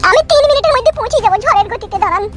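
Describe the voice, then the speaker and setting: A performer voicing a hot-tempered young village wife in a cartoon, with a squeaky sped-up voice. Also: expressive, very fast, a bit bright, soft background music. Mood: angry.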